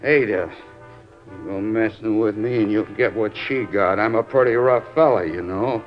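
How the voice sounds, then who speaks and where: A man's voice: fairly low pitch, normal speed, close to an everyday voice. An actor playing a drunk, boastful American thug in a 1950s radio crime drama, slurring and blustering as he repeats himself. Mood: boastful, threatening. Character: drunk, belligerent short stocky thug, boastful murder suspect. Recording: dull old radio recording with music.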